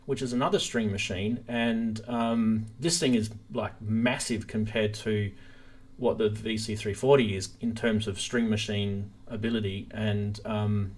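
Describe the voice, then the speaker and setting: A man's voice: on the low side, steady tone, measured pace. A man hosting a casual live stream about gear, talking informally and enthusiastically straight to his viewers.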